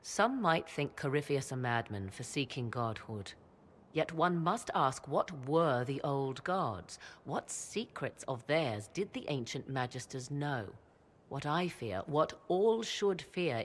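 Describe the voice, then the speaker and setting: An actress playing a proud, mysterious sorceress in a fantasy video game, with theatrical, archaic and measured phrasing. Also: female voice, a bass voice, lively intonation, husky, full character voice. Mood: grave, warning. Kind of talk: dramatic video game acting.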